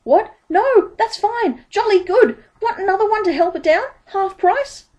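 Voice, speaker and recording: A woman voicing a shifty, eager male pie seller with high, quick sales patter. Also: lively intonation, darkish tone. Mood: flustered.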